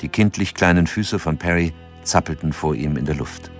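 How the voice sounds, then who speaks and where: An actor playing a narrator in a radio drama, reading scripted narration in a sober, measured and factual way. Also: male voice, extremely low pitch, faint music behind.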